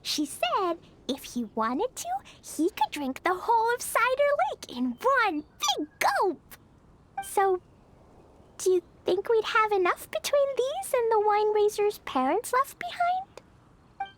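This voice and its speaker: A woman voicing a cheerful, innocent little girl in a video game, with an excitable, childlike manner. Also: extremely high pitch, slow, exaggerated voice. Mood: excited, innocent. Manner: childlike, wide-eyed, excitable. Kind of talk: video game character acting.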